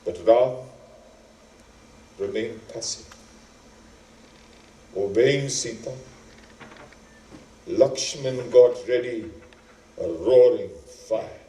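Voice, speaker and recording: A man narrating an epic as a stage actor, dramatic and deliberate, with weighty pauses. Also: medium pitch, warm tone. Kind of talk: theatrical epic storytelling. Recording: roomy, echoing, distant microphone.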